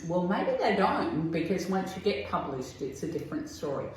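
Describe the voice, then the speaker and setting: A woman's voice: on the low side, sing-song, normal speed, slight background noise. A woman hosting an interview, asking chatty follow-up questions and laughing along with her guest. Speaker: podcast host. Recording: echoey room.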